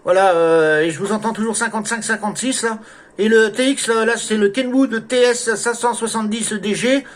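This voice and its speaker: A man's voice: high voice, moderate pace. A man making casual conversation as an amateur radio operator, often tagging his phrases with little filler words. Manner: frequent "hein" and "là" tags. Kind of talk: casual radio contact chat. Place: at home radio station. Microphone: desk microphone through a mixer.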